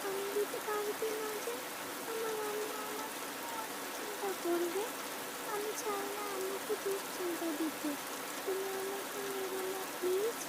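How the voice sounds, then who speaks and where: A woman's voice: extremely high pitch, fairly even intonation, normal speed, heavy background noise, voice pushed up. A woman voicing a stubborn young village girl in a cartoon, with a childlike, coaxing way of talking. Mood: frightened pleading. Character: stubborn, pleading young village girl who loves rain. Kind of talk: cartoon voice acting. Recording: rain sounds over voice.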